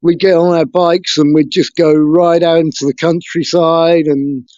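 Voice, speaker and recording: Man, higher voice, dull call audio. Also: flat delivery, unhurried, darkish tone, no echo. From England. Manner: frank, hesitant recounting of his life. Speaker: interview guest. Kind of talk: casual interview talk.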